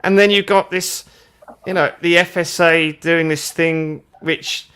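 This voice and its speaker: A man's voice: fairly high pitch, fairly even intonation, unhurried, sharp tone, clear, resonant tone. A man from the UK, co-hosting a casual live chat and rambling as he repeats words while searching for his point. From United Kingdom. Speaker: co-host.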